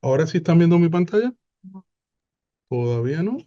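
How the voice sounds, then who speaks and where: A man, presenting a webinar in an unhurried way with frequent hesitant fillers over a video call. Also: a little high, very animated, mellow, highs cut off. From Puerto Rico.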